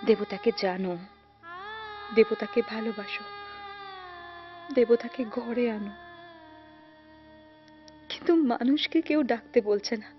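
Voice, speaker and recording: Actress as an anguished, high-voiced devout woman, slow. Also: lightly acted. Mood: anguished, questioning. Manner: slow, halting, emotional dramatic delivery. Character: anguished devout woman pleading with an unanswering god. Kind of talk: Bengali period drama acting. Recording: dull lo-fi audio with music behind.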